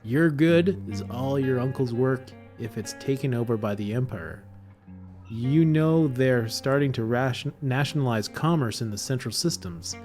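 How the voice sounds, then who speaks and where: A man's voice: mid-range voice, moderate pace, darkish tone, soft background music, natural voice. A man voicing an earnest, conspiratorial young rebel confiding in his friend, in an amateur table read of a film script.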